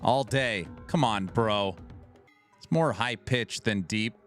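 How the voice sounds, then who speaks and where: A man's voice: lowish voice, slow pace, fairly bright tone, faint music behind. A man streaming live, joking and bantering with his chat in a mock-defensive way. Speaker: streamer reacting to a video.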